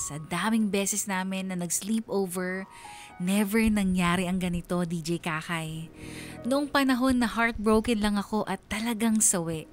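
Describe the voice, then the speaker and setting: A woman's voice: medium pitch, natural voice. A woman playing a young woman who narrates her story confessionally in a radio drama. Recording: music bed behind the voice.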